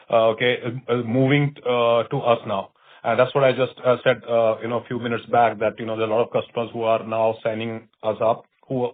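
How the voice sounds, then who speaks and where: A man with an Indian accent, a company executive answering on an earnings call in a halting way, often saying "you know". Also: mid-range voice. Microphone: conference call line. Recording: tinny narrow phone line.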